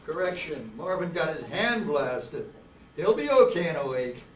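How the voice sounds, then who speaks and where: A man's voice: a little high, big pitch swings, unhurried. A man playing a sardonic, wisecracking hard-boiled detective in an amateur old-time radio play. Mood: wry. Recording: echoey, distant, noisy room recording.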